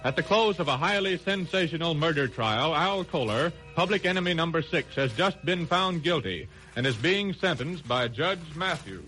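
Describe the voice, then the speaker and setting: A man's voice: on the high side, animated, moderate pace, bright, forceful tone, rough recording. A man narrating a radio drama in a scripted old-time announcer style. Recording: vintage radio recording, music behind.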